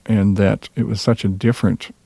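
A man with a Minnesota accent, a jazz musician answering interview questions in a reserved, hesitant way with many pauses. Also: lowish voice, flat delivery, vocal fry, warm tone, slightly rough, no room sound. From Minnesota, USA.

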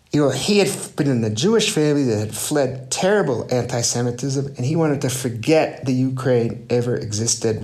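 A man, an author, answering interview questions thoughtfully, with frequent "you know" and "right?" and halting restarts. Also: medium pitch, darkish tone. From United States. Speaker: author guest.

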